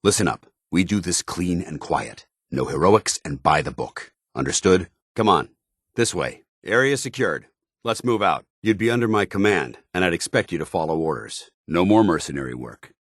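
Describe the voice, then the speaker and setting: A very deep-voiced stern military paladin. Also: male voice, lively intonation, normal speed, no echo, studio quality. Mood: urgent, commanding, then earnest, formal. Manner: formal, clipped, commanding military delivery. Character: stern, by-the-book military paladin, a principled male soldier. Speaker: video game companion character. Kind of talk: video game voice acting.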